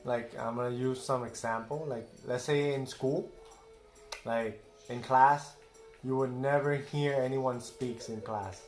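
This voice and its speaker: A man's voice: mid-range voice, steady tone, measured pace, a little mellow, faint music behind. A young man from Thailand co-hosting a casual family video, speaking hesitantly with lots of fillers and trailing off. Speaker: co-host of a family channel. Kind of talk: casual chat.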